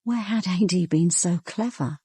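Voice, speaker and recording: An actress playing a sharp-minded British police detective in a radio drama, with controlled, deliberate and pointed delivery. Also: female voice, on the low side, breathy edge. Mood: musing. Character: sharp-minded, ambitious female police detective questioning suspects. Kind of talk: radio drama acting with first-person narration.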